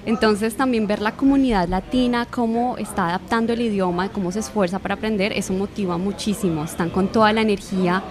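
A woman's voice: medium pitch, fairly quick, hardly any pauses. Earnest woman over a chattering crowd. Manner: earnest, warm encouragement. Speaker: language exchange organizer. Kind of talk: casual interview chat.

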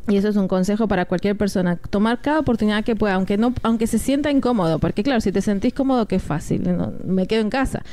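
A woman's voice: medium pitch, fast talker, hardly any pauses, warm tone. A woman, chatting as a radio guest, talking freely with fillers and restarts. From Argentina. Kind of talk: casual radio interview.

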